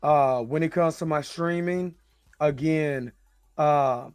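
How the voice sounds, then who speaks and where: A man with a slightly hoarse voice hosting a livestream, talking casually in an informal, rambling, hesitant way. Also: on the high side, takes it slowly, darkish tone, dry recording, soft background music. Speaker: host of a livestream reaction show.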